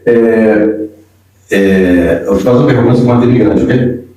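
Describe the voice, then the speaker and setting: A man's voice: fairly low pitch, unhurried, dark tone, slight background noise. A man with a Brazilian Portuguese accent hosting a podcast and chatting in an informal, storytelling way. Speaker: podcast host. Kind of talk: casual interview chat. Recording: echoey room, distant mic.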